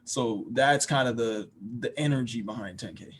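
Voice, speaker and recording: A man's voice: mid-range voice, moderate pace. A young man from Washington, D.C., a rapper and producer, talking reflectively as a podcast guest, often restarting and repeating words. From Washington, D.C., USA. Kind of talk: podcast interview.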